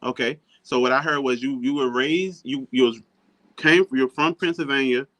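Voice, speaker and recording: A man's voice: mid-range voice, normal speed, a bit bright. A man hosting a casual conversational podcast interview, affirming and restating what is said. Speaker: podcast host.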